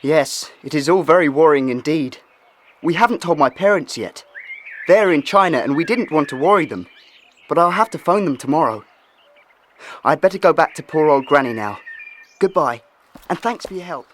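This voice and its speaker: Earnest youth, animated voice. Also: male voice, on the high side, moderate pace, long pauses, slight background noise. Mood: worried. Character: earnest, worried young brother of a runaway girl. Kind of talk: children's audio drama acting.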